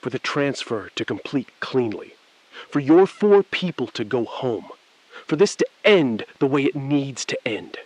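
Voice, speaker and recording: A cool, calculating bank insider, played by a man for an audio thriller. He speaks in precise, measured phrases with a careful evasiveness. The sound is dry and close. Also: mid-range voice, sing-song, dry recording, rough recording. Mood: controlled. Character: calm, calculating male bank insider, coolly complicit. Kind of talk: scripted audio thriller acting.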